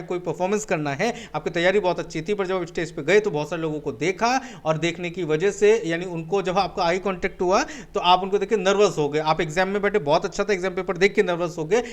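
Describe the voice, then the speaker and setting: A man with an Indian accent teaching a spoken English webinar. He mixes Hindi and English, asks rhetorical questions and answers them himself, and keeps saying "my dear friend.". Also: high voice. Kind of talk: webinar lesson.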